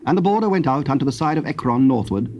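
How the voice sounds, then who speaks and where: A man with a British accent giving formal, measured narration for a dramatized scripture reading. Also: mid-range voice, animated, darkish tone. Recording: noisy old cassette tape recording.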